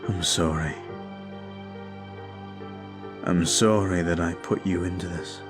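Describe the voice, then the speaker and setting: A man voicing a brooding male vampire who is weary and guilt-ridden, talking slowly and softly in an ASMR roleplay. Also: low-pitched, fairly even intonation. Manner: slow, soft, confiding. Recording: faint music behind.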